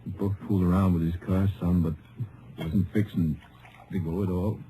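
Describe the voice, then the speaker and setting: Dark-voiced, weakened deputy played by a man. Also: low voice, level tone, natural voice. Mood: weak, dazed. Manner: halting, plain-spoken, folksy. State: weak, in pain. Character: gravely wounded Texas deputy sheriff, grieving father. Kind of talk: old-time radio drama acting. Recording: faintly noisy old radio recording.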